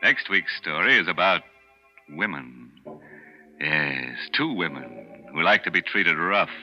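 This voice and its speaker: An actor playing a ghoulish, teasing horror host on a 1940s radio show, with campy, sardonic menace. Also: male voice, deep voice, lively intonation, unhurried, bright, forceful tone, heavily acted. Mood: gleeful, macabre. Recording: dull vintage radio broadcast with music.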